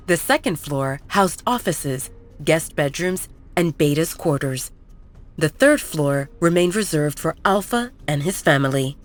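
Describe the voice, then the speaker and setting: A woman delivers scripted narration for a romance audio story. Her voice is pitched low for a woman, and she acts as the storyteller.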